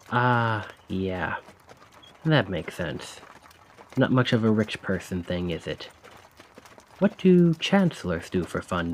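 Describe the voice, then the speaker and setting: A man acts out a talkative carriage driver in an ASMR roleplay. He speaks slowly and fairly low, with big swings in pitch. His manner is casual, rambling and a little awkward, with a sly, probing edge. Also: a little hiss or hum, heavily acted. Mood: chatty, teasing. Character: chatty, cheeky stand-in carriage driver, slyly probing. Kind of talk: ASMR roleplay acting, addressing the listener.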